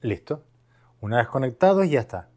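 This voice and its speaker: A man's voice: mid-range voice, big pitch swings, normal speed, darkish tone, faint music behind. A man from Spain giving a casual, conversational product review, explaining things by asking and answering his own questions. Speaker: video host, product reviewer.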